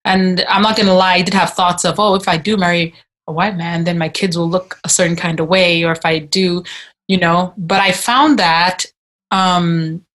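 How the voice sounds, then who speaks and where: A woman's voice: fairly low pitch, fairly even intonation, moderate pace, fairly bright tone. Hesitant woman in her forties. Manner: hesitant, many restarts and fillers. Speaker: discussion participant. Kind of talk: personal reflection in a group video-call discussion. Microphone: video call.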